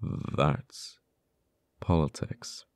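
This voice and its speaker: A man playing an uptight, wounded but earnest government official in an ASMR audio roleplay, speaking haltingly with stammers. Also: dry recording, clean studio sound. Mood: weary, bitter. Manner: halting, hesitant delivery with stammers. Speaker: voice actor.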